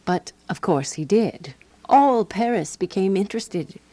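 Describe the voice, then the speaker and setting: A woman's voice: medium pitch, expressive, a little slow, dark tone, no echo. A woman playing a prim, wry 1920s Parisian resident, narrating a radio drama in a precise, dryly disapproving way. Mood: wry, reminiscing. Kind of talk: scripted first-person radio drama narration.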